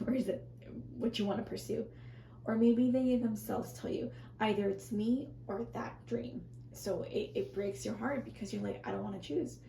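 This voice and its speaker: A woman with a scratchy, strained voice reading tarot in a casual, rambling way, often correcting herself and speaking softly to viewers. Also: medium pitch, vocal fry, echoey room. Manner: rambling, self-correcting, soft and reassuring. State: scratchy, strained voice, clearing her throat. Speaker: tarot reader.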